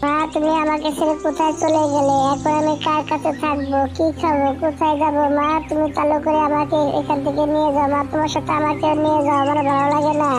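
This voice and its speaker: Woman as a high-pitched little orphan bird girl. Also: monotone, fast, hardly any pauses, music in the background, natural voice. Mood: grieving. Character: Nupur, small clinging orphaned daughter bird. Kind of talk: children's cartoon story dialogue.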